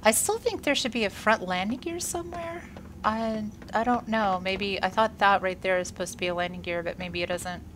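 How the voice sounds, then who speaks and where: A woman's voice: mid-range voice, fairly quick, noisy background. A woman with a congested, slightly wheezy voice, giving upbeat, casual commentary on a space game while she plays. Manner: chatty, upbeat, frequent "uh" fillers. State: congested after a workout and illness. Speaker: gaming video creator.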